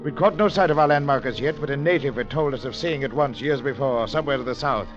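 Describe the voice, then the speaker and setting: An actor playing a cultured gentleman adventurer who narrates a vintage radio drama in a measured, earnest way. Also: medium pitch, natural voice. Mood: narrating. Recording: muffled old radio broadcast with music.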